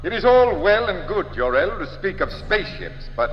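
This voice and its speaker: Patronizing council chairman, high male voice, formal oratory, 1940s radio serial, long hall reverb. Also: big pitch swings, moderate pace, lo-fi sound. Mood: dismissive. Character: skeptical, authoritative male leader of alien governing council. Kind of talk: 1940s radio drama acting.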